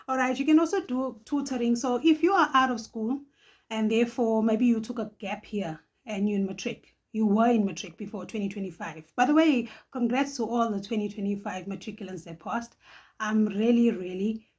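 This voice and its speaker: A middle-aged woman from Johannesburg with a South African accent, hosting a casual advice vlog, chatty and quick to laugh, often tagging her sentences with "okay?". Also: fairly high pitch, a little mellow, slightly rough. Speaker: channel host.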